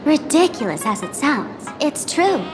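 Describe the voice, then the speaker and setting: A woman voicing a composed, polite young woman in a video game, measured and formal. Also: sits high, big pitch swings, over music. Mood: matter-of-fact.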